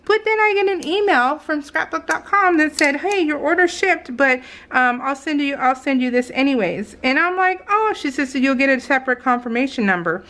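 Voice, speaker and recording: An American woman chatting casually as a craft vlogger, telling stories in a rambling, animated way and acting out what people said. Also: sits high, moderate pace, hardly any pauses. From California, United States. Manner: rambling, animated retelling with acted-out quotes. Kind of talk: casual chat while crafting, voiced in real time.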